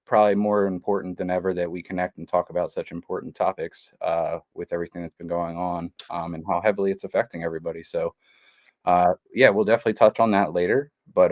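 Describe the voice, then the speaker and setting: A man's voice: deep voice, no room sound, clean studio sound. A man hosting a casual podcast who talks in a laid-back way, leaning on fillers like "um" and "you know". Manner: laid-back, many fillers, calls guest bro. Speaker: podcast host.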